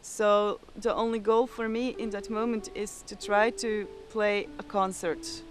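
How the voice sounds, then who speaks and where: A woman in her twenties with a Croatian accent, a pianist answering interview questions thoughtfully, pausing and repeating words. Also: mid-range voice, level tone. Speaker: competition-winning pianist. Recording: music playing underneath.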